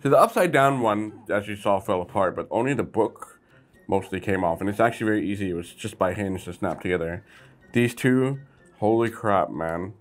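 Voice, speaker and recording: A man hosting a casual toy review vlog, chatty and easygoing, with sudden bursts of excitement. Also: fairly low pitch, moderate pace, faint music behind.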